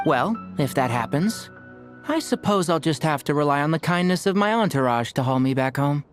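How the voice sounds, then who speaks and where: A composed young healer from a game who mixes kindness with dry teasing, voiced by a man. His pitch rises and falls expressively. Soft music runs underneath. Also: moderate pace, close to an everyday voice. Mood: calm. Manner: calm, lightly teasing. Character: gentle, dry-witted young male healer. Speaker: doctor.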